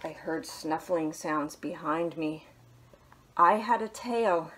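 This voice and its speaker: Lady librarian reading a kids book at home, takes her time, lowish soft voice, hushes some bits. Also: takes it slowly, a little hiss or hum. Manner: gentle storytelling with soft, hushed asides. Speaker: children's librarian. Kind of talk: reading a picture book aloud. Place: small living room at home.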